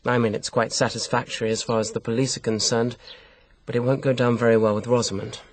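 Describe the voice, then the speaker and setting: An evasive British husband played by a man in a radio mystery, with a voice of medium pitch. He hedges in understated, embarrassed phrases, keeping a polite manner. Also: flat delivery, fast, close to an everyday voice. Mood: awkward, reluctant. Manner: hedging, understated.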